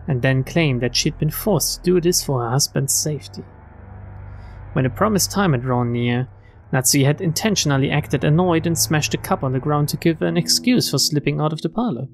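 A man with a fairly high voice narrates a visual novel on stream. He reads the story expressively and drops in casual remarks of his own. Faint music plays behind him. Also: vocal fry.

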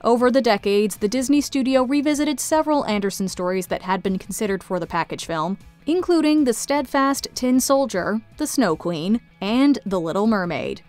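Female narrator for scripted film-history video essay, higher register, light music underneath. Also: animated, moderate pace, few pauses, a little mellow. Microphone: close studio voice-over mic.

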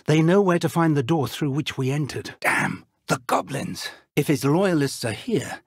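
Husky, expressive elderly wizard professor. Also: male voice, on the high side, moderate pace, no echo, studio quality, heavily acted. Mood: grave, focused, then alarmed, defiant. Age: older. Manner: formal, urgent, articulate. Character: elderly wizard professor, earnest, resolute mentor. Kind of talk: video game character dialogue.

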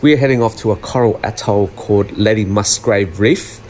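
A man recording an informal voiceover for his fishing vlog, relaxed and using fillers. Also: lowish voice, moderate pace, a little hiss or hum. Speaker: vlog narrator. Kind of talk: voiceover narration.

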